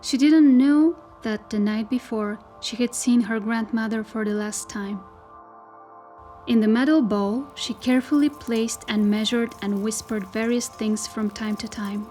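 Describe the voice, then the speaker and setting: A woman narrating a dystopian tale as a solemn storyteller, in measured, scripted literary style. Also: fairly high pitch, mellow, natural voice. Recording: music behind the voice. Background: music.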